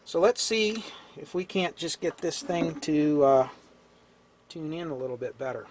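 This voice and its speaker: A man with a hoarse, fading voice, casually explaining an old radio repair at an electronics workbench, clearing his throat now and then. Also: fairly high pitch, a little slow, slight background noise. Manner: relaxed, talks while tinkering, frequent throat clearing. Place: cluttered electronics workbench indoors.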